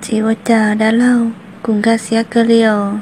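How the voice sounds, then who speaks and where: A woman voicing a female character in an amateur animated cartoon drama. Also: on the high side, a little slow, a little hiss or hum, natural voice. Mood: conversational. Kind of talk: hobby animated cartoon dialogue.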